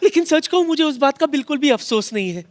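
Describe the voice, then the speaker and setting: High-pitched, theatrical old granny. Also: lively intonation, fast talker. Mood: coy, wistful. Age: older. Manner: theatrical, coy, calling out. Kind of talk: broad stage comedy sketch. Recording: TV studio stage with audience noise.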